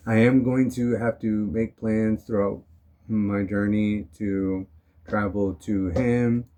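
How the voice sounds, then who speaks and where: A young man talking casually in a rambling motivational vlog, his voice still hoarse from being sick. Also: lowish voice. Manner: relaxed, rambling, frequent "you know" and "um". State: hoarse from recent illness. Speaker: vlogger.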